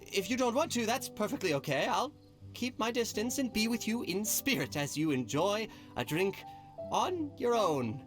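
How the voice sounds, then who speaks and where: Casting: chivalrous, effusive male admirer for a game, very animated intonation, music underneath. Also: sits high, normal speed. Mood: nervous, smitten. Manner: effusive, courtly flattery. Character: flowery, fawning, chivalrous male admirer of a lady. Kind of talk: anime game voice acting. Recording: music behind the dialogue.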